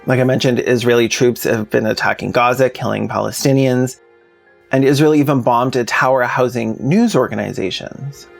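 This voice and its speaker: A man's voice: mid-range voice, fairly even intonation, moderate pace, vocal fry, faint music behind. A man narrating a scripted commentary video in a plainspoken, informal way with sardonic asides. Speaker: video essayist, host.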